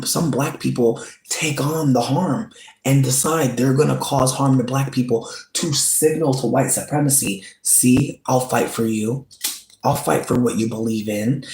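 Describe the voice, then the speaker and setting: A man's voice: mid-range voice, gravelly, a little mellow. Earnest man talking in an echoey room. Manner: earnest, repetitive, pleading. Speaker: channel host. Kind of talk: direct-to-camera commentary.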